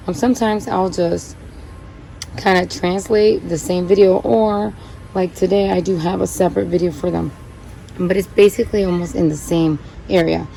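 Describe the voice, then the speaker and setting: A woman's voice: lowish voice, vocal fry, warm tone, slight background noise, poor audio. A woman who was born in Puerto Rico and grew up in Connecticut, hosting a plant channel in a rambling, chatty way with many fillers as she repots cacti. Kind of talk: casual chat while repotting cacti.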